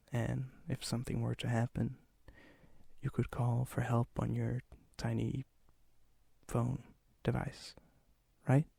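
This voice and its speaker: A man playing a lonely, gently teasing vampire in an ASMR roleplay, with a soft, breathy voice that often drops into a whisper. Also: mid-range voice, no room sound, full character voice. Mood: teasing, inviting. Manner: slow, intimate, hesitant, gently teasing.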